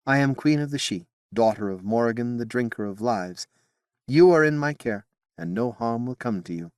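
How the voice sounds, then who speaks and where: A man's voice: medium pitch, lively intonation, normal speed, a little mellow, clean tone, no room sound, clean studio sound, voice pushed up, close to an everyday voice. A man voicing a proud fairy queen and worried mother, speaking in a commanding, stern way in an audiobook reading. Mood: regal. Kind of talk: audiobook character voice.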